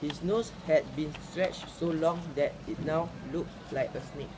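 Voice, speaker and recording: A man's voice: fairly high pitch, a little slow. A man reading a folk tale aloud. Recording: clearly noisy recording with ambient sound.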